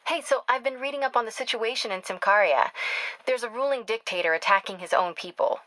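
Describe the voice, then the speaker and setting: A woman's voice: on the high side, fairly quick, sharp tone, no echo, low-quality recording. An actress playing a driven, caring young woman reporter in a video game, speaking in an earnest, conversational way. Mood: focused. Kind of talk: video game voice acting, natural phone-call dialogue.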